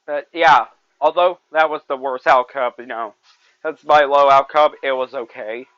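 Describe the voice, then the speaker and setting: A man's voice: mid-range voice, steady tone, normal speed, fairly bright tone, no echo, muffled recording. A man in his twenties hosting a solo podcast, rambling and repeating himself with many fillers. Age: 20s. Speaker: podcast host. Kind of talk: solo movie review podcast.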